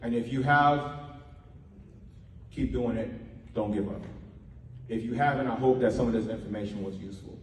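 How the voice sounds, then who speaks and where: A man's voice: lowish voice, normal speed, long pauses, roomy sound, long reverb tail, recorded from a distance, slight background noise. A man from Trinidad giving an earnest, emphatic motivational talk at a media workshop. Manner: earnest, emphatic, with repeated phrases.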